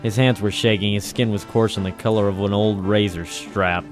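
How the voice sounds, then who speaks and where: An actor playing a cynical, wisecracking waterfront operator in a 1940s radio noir drama, deadpan and terse. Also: male voice, fairly low pitch, normal speed, over music, close to an everyday voice. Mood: deadpan, world-weary. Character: cynical hard-boiled waterfront private operator, male, wisecracking. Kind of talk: 1940s radio noir first-person narration and dialogue. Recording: 1940s radio broadcast recording.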